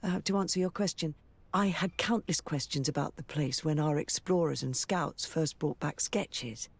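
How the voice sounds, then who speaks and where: An actress playing a curious, scholarly explorer in a video game, explaining things thoughtfully and articulately. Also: female voice, deep voice, normal speed, creaky, breathy edge, exaggerated voice. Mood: intrigued, thoughtful. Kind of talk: video game character dialogue.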